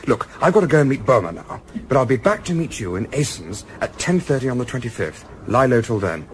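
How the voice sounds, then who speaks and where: A man playing a composed, astute Edwardian gentleman turned amateur spy, speaking formally in a radio play as narrator and lead. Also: mid-range voice, big pitch swings, brisk, a little hiss or hum, close to an everyday voice. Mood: resolute. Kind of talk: radio play acting with first-person literary narration.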